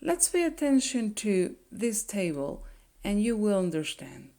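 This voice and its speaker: Slow, gravelly woman teacher. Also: medium pitch, very animated, warm tone. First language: Spanish. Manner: slow and deliberate, checks in with "okay?". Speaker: Spanish teacher. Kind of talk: language lesson.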